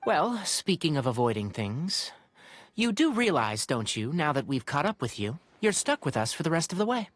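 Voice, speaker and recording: Husky, easygoing young adventurer. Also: female voice, deep voice, sing-song, normal speed. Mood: teasing. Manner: casual, wry. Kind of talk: video game voice acting. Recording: game music behind.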